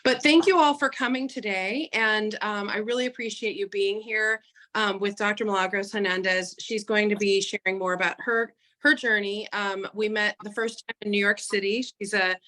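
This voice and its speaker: A woman's voice: medium pitch, fairly even intonation, moderate pace, bright, forceful tone. A woman hosting an online interview in a chatty, encouraging, conversational way. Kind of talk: casual interview chat.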